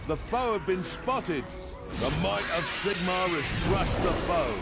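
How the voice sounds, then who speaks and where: An imperial herald calls out war news in a fantasy video game. His voice is high for a man, urgent and declamatory, over clear music. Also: expressive, slow, heavily acted.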